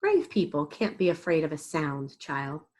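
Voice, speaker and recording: Woman voicing a soft, gentle old grandmother, slightly husky. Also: sits low, very animated, normal speed, pitched below the performer's own voice. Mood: encouraging. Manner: reassuring, tender.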